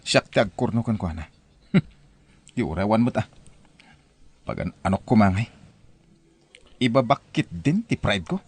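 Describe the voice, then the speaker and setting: Guy doing proud stubborn young husband, ilocano accent, scoffing, very animated pitch, radio drama. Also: measured pace, long pauses, husky. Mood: defiant, stubborn. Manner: defiant, scoffing.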